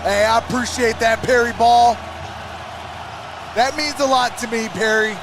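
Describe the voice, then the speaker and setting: A man's voice: very high voice, steady tone, a little slow, noisy background. A man hosting a sports livestream, giving live play-by-play and partisan fan reaction while talking to his viewers.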